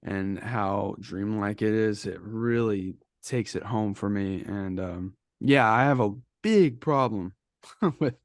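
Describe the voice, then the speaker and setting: A man with a fairly low voice is recorded very dry, with no room sound. He talks as a co-host in a casual film discussion, reflective and halting as he searches for phrasing. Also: no room sound. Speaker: podcast co-host.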